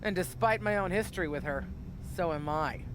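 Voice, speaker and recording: A woman playing a composed, challenging messenger in a wrestling game cutscene, measured and pointed. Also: on the low side, noisy background, close to an everyday voice. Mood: cool challenge. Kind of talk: video game cutscene acting.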